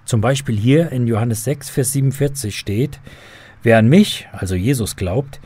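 A man's voice: medium pitch, moderate pace, mellow, husky, no echo, natural voice. A man playing an earnest believer who patiently explains faith to children in a scripted radio drama.

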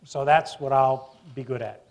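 A man's voice: medium pitch, fairly even intonation, normal speed, vocal fry. A middle-aged man moderating a stage conversation in a university auditorium, chatty and wry, often restarting his sentences, on a lapel mic. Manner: chatty, self-interrupting, wry. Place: university auditorium with live audience.

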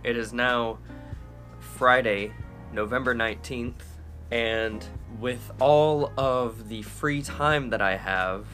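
A young man in his twenties talking casually to a handheld camera, relaxed and rambling, outdoors and later in a small cabin. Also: mid-range voice, takes it slowly, faint music behind. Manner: relaxed, rambling, self-amused. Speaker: cruise ship musician vlogger. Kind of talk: casual vlog chat. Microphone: phone camera at arm's length.

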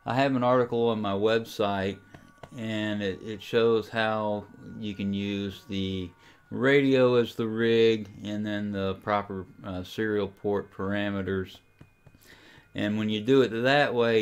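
A man with a fairly low, clean and resonant voice talks through a software tutorial. He explains each step in a casual, informal way, as the host of a hobby how-to. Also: clear, resonant tone. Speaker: amateur radio tutorial host.

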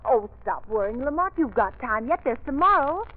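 An anxious, loyal young woman in a vintage radio drama. Her high voice swings widely as she pleads, on a muffled old recording. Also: moderate pace. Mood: worried, soothing. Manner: pleading, expressive. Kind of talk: 1930s radio acting.

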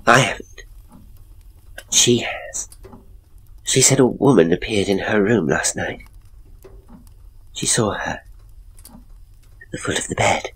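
A man voicing a skittish, anxious woman with a breathy, worried, questioning manner in a horror story. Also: fairly low pitch, animated, slow, pauses often, a bit bright, slight background noise, full character voice, low-quality recording. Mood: agitated. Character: skittish younger woman, anxious sister and secret conspirator.

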